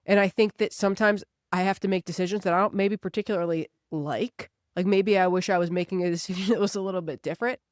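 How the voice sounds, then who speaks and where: A woman's voice: mid-range voice, fairly even intonation, fast, hardly any pauses, no echo, clean studio sound. A woman in her thirties speaking as a podcast co-host in casual, reflective conversation, earnest and emphatic, repeating phrases to drive points home. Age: 30s.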